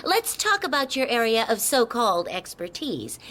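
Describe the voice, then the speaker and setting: An actress playing a brisk, sceptical, sardonic television reporter in a radio drama. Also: female voice, fairly high pitch, sing-song, a bit bright. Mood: sceptical, probing. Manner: crisp, probing, sardonic.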